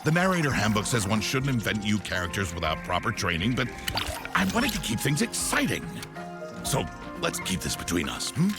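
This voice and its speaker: An actor playing a sheepish, confiding storybook narrator who chats playfully to the listener in comic scripted narration. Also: male voice, on the low side, huge pitch swings, moderate pace. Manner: conspiratorial, playful. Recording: game narration over music.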